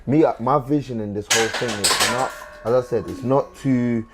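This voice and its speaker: A man's voice: medium pitch, fairly quick, very noisy. A man from Sudan, a comedian, chatting casually on a podcast, wandering off his point and checking in with "you know what I mean". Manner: rambling, loses his thread, keeps asking "do you know what I mean".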